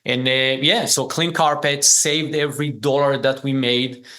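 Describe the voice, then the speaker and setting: A man's voice: medium pitch, steady tone, unhurried, pushed and bright. A man in his forties with an Israeli accent, a native Hebrew speaker, telling his story conversationally as an interview guest. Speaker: podcast guest, business founder.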